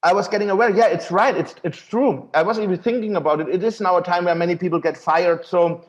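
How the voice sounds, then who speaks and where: A man's voice: high-pitched, quick pace, muffled recording. A man with a German accent, a guest author on a podcast, telling his story earnestly and with enthusiasm. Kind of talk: podcast interview.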